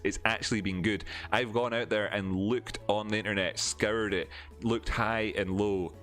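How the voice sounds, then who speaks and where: Scottish man talking frankly with soft music. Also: deep voice. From Scotland. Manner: earnest, repeats words for emphasis, frank about money. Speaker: crypto trading livestream host. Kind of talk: casual livestream chat reading viewer comments. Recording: faint music under the voice. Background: faint background music.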